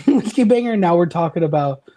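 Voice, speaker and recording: A woman's voice: fairly low pitch, animated, a little fast, mellow. A woman co-hosting a podcast in casual banter, with a wry, teasing way of talking. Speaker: podcast co-host.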